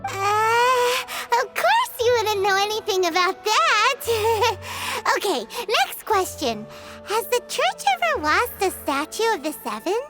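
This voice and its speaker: Tiny chirpy fairy girl, super high and bouncy, bossy chatter, game music behind. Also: heavily acted. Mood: curious. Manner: excitable, bossy, quick chatter. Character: tiny floating female fairy companion, chirpy and nosy. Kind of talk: video game voice acting.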